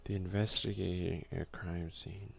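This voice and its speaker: A man hosting an ASMR let's play, speaking softly and casually into a close microphone. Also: low-pitched, little pitch movement, measured pace, vocal fry. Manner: hushed, casual. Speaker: ASMR gaming host. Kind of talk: soft-spoken ASMR let's play commentary.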